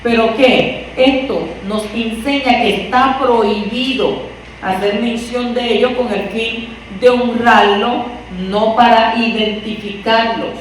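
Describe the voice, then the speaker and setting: A woman's voice: on the high side, steady tone, slow pace. A woman from Puerto Rico with a Puerto Rican accent, preaching a conversational sermon and asking her listeners rhetorical questions. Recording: echoey room from far off, faint noise.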